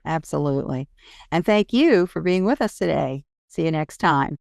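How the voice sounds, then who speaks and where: A woman's voice: low voice, lively intonation, normal speed, gravelly, a little mellow, no room sound, studio quality. A woman hosting a podcast interview in casual talk, affirming and appreciative toward her guest. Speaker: podcast host.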